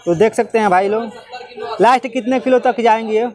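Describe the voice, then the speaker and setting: A man's voice: high-pitched, a little fast. A young man touring an outdoor livestock fair with a handheld phone, chatting to his viewers and repeating that they can see everything. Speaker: vlogger showing a livestock fair. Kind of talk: walking travel vlog commentary. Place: outdoor fair market among goat stalls. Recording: busy outdoor crowd behind.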